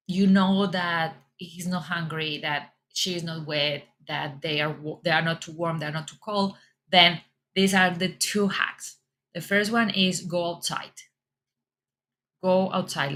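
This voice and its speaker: A woman's voice: on the low side, pushed and bright, slight background noise. A middle-aged woman with a Colombian accent giving parents reassuring advice and repeating her words often. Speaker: mom fitness coach. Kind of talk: casual advice talk.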